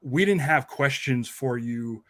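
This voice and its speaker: A man's voice: medium pitch, animated, slow, dry recording, studio quality. A man hosting a casual podcast, talking in a rambling way and often repeating and restarting his phrases. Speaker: podcast host and documentary maker.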